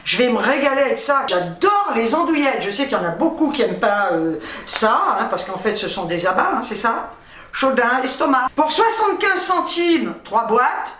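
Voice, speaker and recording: A woman's voice: fairly high pitch, sing-song, moderate pace, a bit bright. A middle-aged woman from North Africa chatting casually as a vlogger, rambling playfully with some salty language. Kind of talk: casual chatty grocery haul. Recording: roomy, distant microphone.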